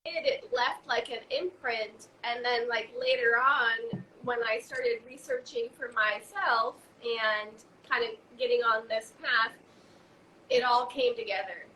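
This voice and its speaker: A woman from Hungary chatting as a guest, talking in a halting way with lots of fillers and restarts. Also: a little high, pushed and bright, slight background noise, low-quality recording. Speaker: guest, raw food author. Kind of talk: casual conversation.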